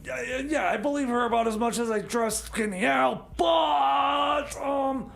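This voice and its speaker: A man's voice: unhurried, a bit bright, raised voice, exaggerated voice. A man playing a flustered, eccentric small-town tinkerer in a comedy radio skit, stammering and rambling as he repeats himself. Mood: insistent. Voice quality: high-pitched, creaky. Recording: faint background noise.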